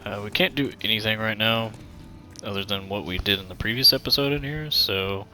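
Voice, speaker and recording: A man's voice: on the low side, a little fast, sharp tone. A man with a somewhat nasal voice giving laid-back, joking commentary on a game walkthrough through a headset mic that pops and cracks. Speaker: gaming channel host.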